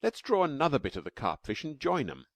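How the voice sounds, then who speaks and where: A man voicing a fond, excited cave-man father who explains patiently. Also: fairly high pitch, a little fast, vocal fry, no echo, clean studio sound, voice pushed up, full character voice.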